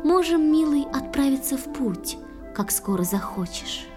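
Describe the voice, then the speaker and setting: A woman playing a gentle, devoted young water nymph bride, reciting tenderly in a poetic audio play for children. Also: high-pitched, expressive, a little slow, over music. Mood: serene, tender.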